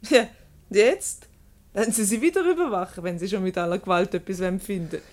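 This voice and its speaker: A woman's voice: medium pitch, fairly quick, a little mellow, close to an everyday voice. A woman with a Swiss German accent playing a clever, teasing, unbothered wife in a radio comedy, coolly mocking. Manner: mocking, coolly self-assured.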